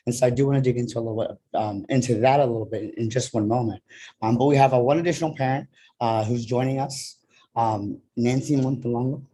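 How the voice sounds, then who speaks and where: A young man moderates an online panel, pausing often and restarting his sentences. His voice has a mellow, dark tone. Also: mid-range voice, poor audio. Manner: halting, full of ums and restarts.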